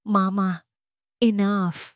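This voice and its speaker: A woman's voice: mid-range voice, flat delivery, very slow, no echo, studio quality, pitched above the performer's own voice. A woman voicing a wealthy young male CEO in a drama story, firm, pragmatic and urgent. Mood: stern, firm.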